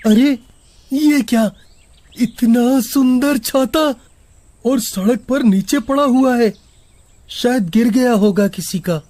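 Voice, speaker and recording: A man's voice: extremely high pitch, takes it slowly, long pauses, dark tone, dry recording. A man voicing an anxious, pleading young schoolboy in a storybook horror tale, with an earnest delivery. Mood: surprised. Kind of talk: animated story dubbing in Hindi.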